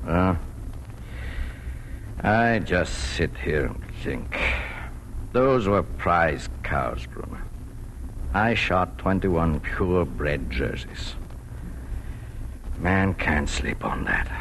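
An actor in a 1940s radio drama playing a weary, gentle immigrant farmer father who talks plainly and haltingly. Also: deep voice, big pitch swings, poor audio. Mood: brooding. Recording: hissy vintage 1940s radio recording.

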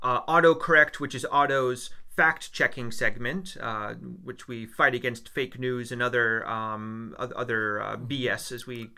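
A man's voice: mid-range voice, fairly even intonation, a little slow, hardly any pauses, sharp tone, smooth. A man from the United States, co-hosting a casual podcast and chatting in a rambling way with fillers and restarts. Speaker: podcast co-host, history teacher.

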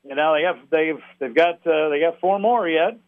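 Halting, wry man on a phone line. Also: fairly high pitch. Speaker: sports play-by-play announcer, interview guest. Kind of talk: conversational interview. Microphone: telephone.

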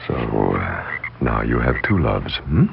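Deep, creaky-voiced coaxing schemer. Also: male voice, big pitch swings, exaggerated voice. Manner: insinuating, deliberate, coaxing. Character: scheming, persuasive male servant plotting murder for money. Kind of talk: 1960s radio drama acting. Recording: dull, noisy 1960s radio recording.

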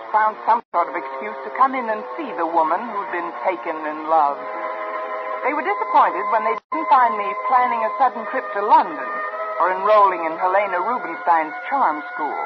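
A woman's voice: medium pitch, lightly acted. An American actress playing a proud, bitter career woman, biting and sarcastic, in a vintage radio drama. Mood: bitter, wry. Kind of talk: 1950s American radio drama acting, with narration. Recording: muffled vintage radio recording, music behind.

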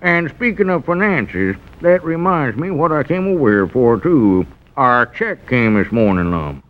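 An actor playing a scheming, smooth-talking rural squire in a 1940s radio comedy, falsely obliging and hemming as he wheedles. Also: medium pitch, big pitch swings, measured pace. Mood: sly, wheedling. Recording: dull vintage radio broadcast recording.

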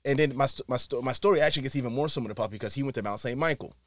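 A man, a guest telling his story in casual chat with rapid, animated, self-correcting delivery. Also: mid-range voice, dry recording. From Harlem, New York.

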